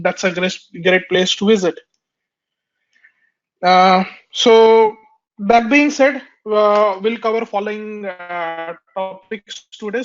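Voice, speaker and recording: A man giving a technical webinar presentation, talking with a nasal sound from a cold and hesitating with many fillers and restarts, recorded through a computer mic on a conference call. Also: sits high. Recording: dull lo-fi webinar audio.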